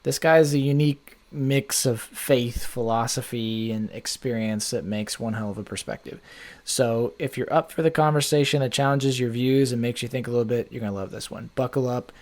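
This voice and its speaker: Man, podcast host, medium pitch, casual rambling chat, lots of fillers. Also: normal speed, a little mellow. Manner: rambling, chatty, many "like" fillers. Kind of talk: casual conversation.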